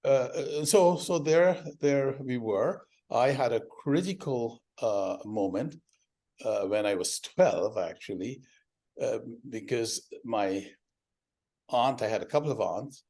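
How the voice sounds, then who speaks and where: An older man from Guyana, a guest telling his life story in a measured way with frequent pauses. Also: fairly low pitch, warm tone. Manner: measured, recalls details with many "uh" pauses. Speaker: guest, doctor and company founder.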